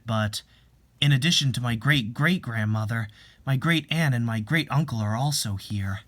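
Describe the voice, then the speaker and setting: A performer playing a calm, reassuring young husband from an old family in a dramatic reading. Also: medium pitch, lightly acted. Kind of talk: dramatic reading of a horror manga story.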